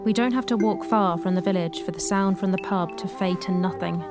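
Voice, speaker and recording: Audio drama, female role for an actress: wonder-struck observer, mid-range voice, softly descriptive, music underneath. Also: mid-range voice, fairly quick, close to an everyday voice. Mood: awed, hushed. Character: observant, wonder-struck city woman who knows the stars. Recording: music behind the voice.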